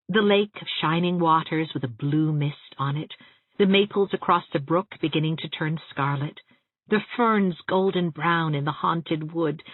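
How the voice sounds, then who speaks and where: Woman narrator as young female teacher, affectionate, whimsical audiobook letters, breathy low-toned voice, very lively intonation, muffled recording with room echo. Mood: wistful, fond. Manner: expressive, whimsical. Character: spirited, affectionate young schoolteacher writing letters. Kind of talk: audiobook reading of first-person letters. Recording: boxy room echo, muffled narrow-band sound.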